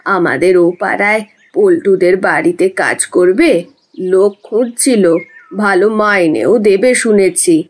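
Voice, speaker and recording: A woman's voice: medium pitch, takes it slowly. A woman playing a matter-of-fact village mother-in-law in an audio drama. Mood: helpful. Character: village elder in-law giving helpful advice to daughter-in-law.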